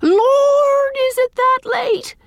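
Woman acting gossipy village spinster, swooping pitch. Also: sing-song, normal speed, dry recording, studio quality. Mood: brisk, hearty. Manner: emphatic, folksy, tart. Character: brisk, opinionated, gossipy middle-aged village spinster.

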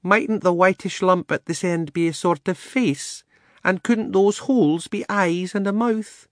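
A storybook lady elephant, acted out by a male narrator, pitched well up. The manner is mild, delicate and a little pleased with itself, choosing words with polite care. The sound is close and dry. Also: measured pace, dry recording. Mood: prim, thoughtful. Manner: thoughtful, politely pedantic, delicate. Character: genteel, mild, self-satisfied female elephant.

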